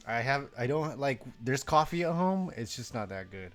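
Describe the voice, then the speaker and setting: A man's voice: mid-range voice, animated, normal speed, a bit bright, faint music behind. A young man from Mexico chatting casually on a live stream, sleepy, rambling and self-deprecating, swearing now and then.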